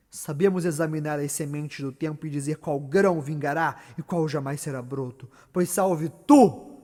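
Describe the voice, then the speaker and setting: A Brazilian man playing eerie, prophesying witches in a declamatory, incantatory theatrical Shakespeare monologue. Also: on the high side. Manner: declamatory, drawn-out, swinging from hush to loud chant. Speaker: stage actor. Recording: long reverberant hall echo.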